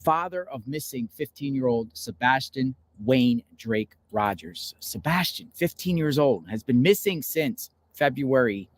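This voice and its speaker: A man's voice: mid-range voice, expressive, a little slow, hardly any pauses, no room sound. A man conducting an interview on location out in a park. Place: outdoors in a park.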